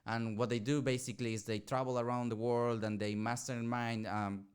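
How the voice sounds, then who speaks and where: A man's voice: medium pitch, little pitch movement, moderate pace, smooth. A man, an art director, describing his work in casual podcast chat, hesitant and full of fillers. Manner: hesitant, many fillers and restarts.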